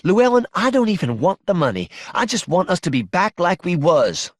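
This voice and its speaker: A man's voice: on the high side, expressive, normal speed, no room sound, pitched above the performer's own voice, natural voice. A man voicing an anxious, fretful young wife in an audiobook, with plaintive, folksy rural speech. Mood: frightened, pleading. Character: anxious, wounded-hearted young Southern wife, pleading and fretful.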